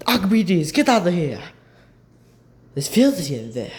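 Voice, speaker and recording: A man's voice: sits high, very animated, unhurried. A man voicing a gleeful, eccentric mad doctor in a theatrical way for an amateur cartoon dub. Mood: brisk. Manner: theatrical, exclamatory, giggling. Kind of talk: amateur fan voice-over of a comic animated short.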